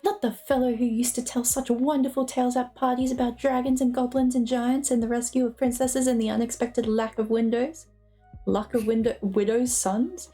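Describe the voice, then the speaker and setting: A woman's voice: fairly high pitch, dark tone, close to an everyday voice. A woman voicing a fussy, polite male hobbit who exclaims and gets easily flustered, in a storybook reading. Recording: music playing behind the voice.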